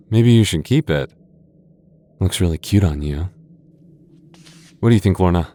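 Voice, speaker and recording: A man's voice: deep voice, animated, measured pace, warm tone. A man voicing a playful, teasing young goth boyfriend in an ASMR roleplay, often stammering and chuckling. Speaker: voice actor.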